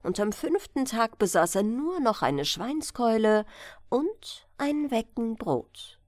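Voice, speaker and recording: A woman narrating a fairy tale as an expressive bedtime audiobook reading. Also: fairly high pitch, slow pace, no room sound, studio quality.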